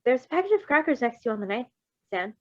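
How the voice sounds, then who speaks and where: A kindly, assured superhero doctor from an audio story, voiced by a woman, speaking with light wryness and a slightly lifted pitch. The sound is dry and a little muffled. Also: big pitch swings, fast talker, dry recording. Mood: calm, reassuring. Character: calm, reassuring young male superhero doctor.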